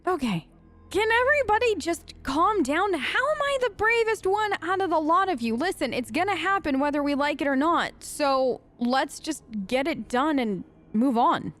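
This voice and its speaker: A woman's voice: high-pitched, expressive, moderate pace, fairly bright tone. A woman hosting a role-play let's play, chatting casually with game characters, sometimes exasperated. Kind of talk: role-play gaming commentary.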